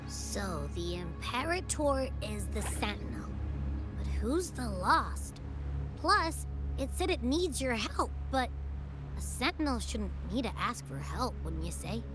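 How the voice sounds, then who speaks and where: An actress voicing a curious, expressive young female companion in a video game, musing aloud in a chatty, animated way. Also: fairly high pitch, unhurried, rough recording. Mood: puzzled. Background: background music.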